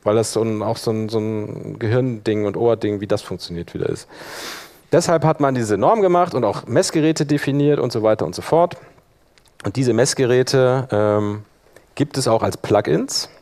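A man presenting a live workshop, explaining software in a colloquial, hands-on way. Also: mid-range voice, normal speed. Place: live workshop in front of attendees.

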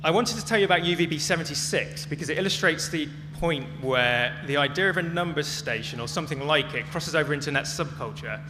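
A man giving a prepared public talk as a speaker, telling stories in a measured, dramatic way. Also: a little high, lively intonation. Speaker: conference speaker. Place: large hall, talk to an audience. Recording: echoey hall with music behind.

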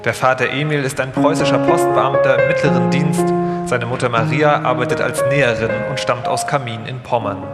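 Male narrator for a live scripted documentary, mid-pitched, in a very reverberant hall. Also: fairly even intonation, a little fast, loud music behind the voice. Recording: echoey hall, long reverb.

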